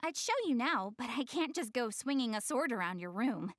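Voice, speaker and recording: An actress voicing a proud, easily flustered young anime goddess in a video game, haughty yet earnest in manner. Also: female voice, a little high, lively intonation, moderate pace, a bit bright, no room sound, clean studio sound. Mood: earnest explaining. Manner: haughty, stammers when flustered, explains things earnestly. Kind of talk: English anime game voice acting.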